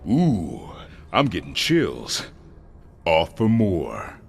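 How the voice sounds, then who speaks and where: A wry, good-natured creature hero from a video game. The voice sits low but moves in a lively way, with an easygoing, dry delivery. Music plays clearly underneath. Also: male voice, extremely slow, full character voice. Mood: amused confidence. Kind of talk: video game cutscene acting.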